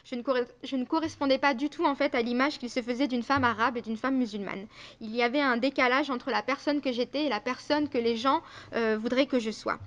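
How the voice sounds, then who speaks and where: A young woman speaks at a conference in an earnest tone, hesitating often. Her voice sits fairly high. The recording sounds slightly dull and muffled. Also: steady tone, brisk, fairly bright tone. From France. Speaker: invited speaker. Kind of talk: conference talk. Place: conference room.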